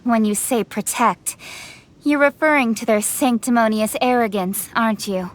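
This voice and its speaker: An actress voicing a brisk, direct young noblewoman official in a video game. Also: on the high side, natural voice. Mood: stern. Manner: crisp, direct, a little sharp.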